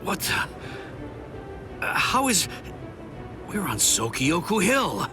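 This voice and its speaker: A man voicing a defiant, hot-blooded young warrior in an anime game, emotional and halting in delivery. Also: high voice, wildly swooping pitch, exaggerated voice. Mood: shocked, confused. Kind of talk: English voice acting for anime game cutscene. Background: loud music.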